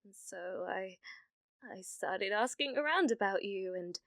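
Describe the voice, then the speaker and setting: A British woman playing an obsessive, flustered young witch in an ASMR roleplay, stammering shyly with a breathy voice that often sinks toward a whisper. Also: medium pitch, animated, measured pace, fairly bright tone, dry recording, clean studio sound. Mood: shy, intrigued. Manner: hesitant, stammering, nervous giggling. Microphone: close microphone, dry sound.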